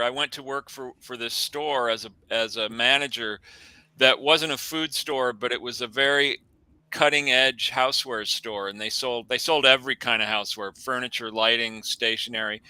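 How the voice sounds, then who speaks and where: A man in his sixties from the Midwest co-hosts a casual talk, his voice very bright and crisp. He rambles, restarting his sentences as he recalls the past. The sound is dry and close. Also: medium pitch, normal speed, no echo, rough recording. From American Midwest. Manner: rambling, self-correcting. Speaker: co-host. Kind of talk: casual chat. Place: home office.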